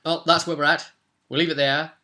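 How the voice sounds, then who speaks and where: A young man with a slightly high, bright voice talks casually as host. He chats about a tabletop game session as its game master, speaking into a webcam in a small home room. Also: flat delivery, a little fast. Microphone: webcam at arm's length.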